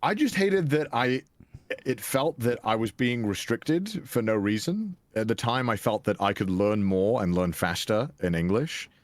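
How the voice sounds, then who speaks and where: A man's voice: lowish voice, expressive, a little slow, no echo. A young man from Wales with a Welsh accent, chatting as an interview guest in a self-deprecating way with frequent restarts. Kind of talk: casual interview chat.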